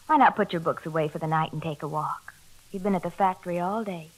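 An actress with an American accent playing a gentle, agreeable young housewife, soothing and polite in 1940s radio style. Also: female voice, lowish voice, fast talker, close to an everyday voice. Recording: 1940s radio broadcast with crackly hiss.

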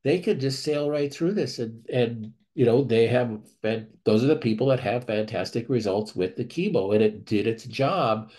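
A man, a clinic doctor, reads member questions aloud and answers them in a measured way, thinking aloud with restarts, over a screen-share video call. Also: mid-range voice, warm tone.

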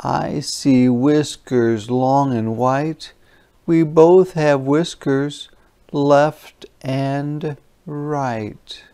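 A narrator reading a children's picture book aloud in an expressive, deliberate, dramatic way. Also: mid-range voice, smooth.

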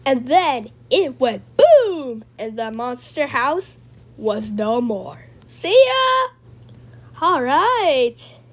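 A woman performing homemade character lines as an amateur voice actor, theatrical, with gasps and drawn-out exclamations. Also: high voice, sing-song. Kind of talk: homemade character voice lines, animated movie remake.

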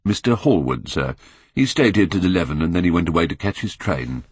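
A man's voice: deep voice, sing-song, a little fast, slightly rough, exaggerated voice. A man voicing a sleepy, deferential valet in an audiobook, answering his master dutifully. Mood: drowsy, dutiful. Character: drowsy, deferential male valet. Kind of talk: audiobook character reading.

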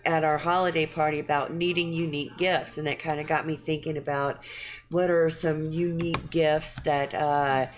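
Low-voiced middle-aged woman host. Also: level tone, normal speed, background noise. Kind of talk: casual chat. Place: small studio with green-screen set.

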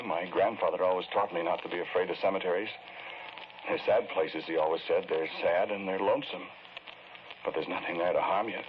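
A man plays a softly haunted murderer in an old radio play. His voice is low, courteous and hesitant, pleading in halting phrases. The muffled vintage recording is clearly noisy. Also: close to an everyday voice. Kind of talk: 1940s radio drama acting.

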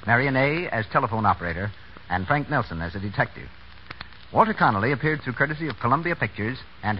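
An American man announcing in polished, persuasive 1930s radio style. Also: medium pitch. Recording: scratchy muffled 1930s radio broadcast.

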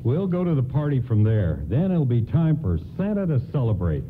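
A man's voice: mid-range voice, huge pitch swings, normal speed. An actor playing a friendly, coaxing department store doorman in an old-time radio play. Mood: encouraging. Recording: tinny radio broadcast, faint noise.

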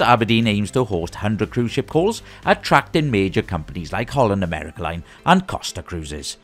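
A man presenting a scripted news roundup in a lively, sing-song delivery with rising greetings. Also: fairly low pitch.

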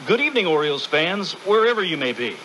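A man's voice: fairly high pitch, very animated, fast talker, pushed and bright, a little hiss or hum, rough recording. A man reading scripted narration for a radio documentary.

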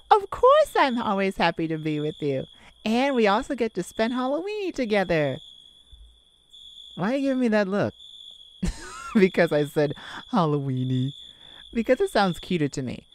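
A woman's voice: mid-range voice, sing-song, moderate pace, long pauses, slight background noise, lightly acted. A woman voicing a giddy, affectionate twenty-one-year-old boyfriend in a cutesy, playful ASMR romantic roleplay. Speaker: audio roleplay performer.

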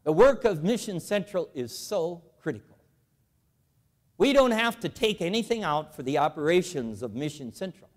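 A man in his seventies giving a lively, folksy, emphatic storytelling speech to a church convention, walking the floor with a lapel mic. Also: high voice, in a large hall. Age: 70s. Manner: animated, folksy, emphatic repetition. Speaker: convention speaker. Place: large convention hall.

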